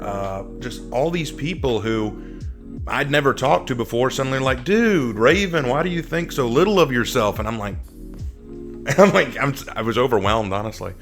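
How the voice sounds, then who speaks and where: A man hosting a gaming interview in a chatty, self-effacing, casual way. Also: medium pitch. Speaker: host of a gaming interview series.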